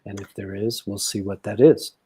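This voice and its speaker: Measured man with a mellow, dark voice. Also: lowish voice, steady tone, husky. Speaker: grief counselor. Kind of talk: guiding counseling conversation.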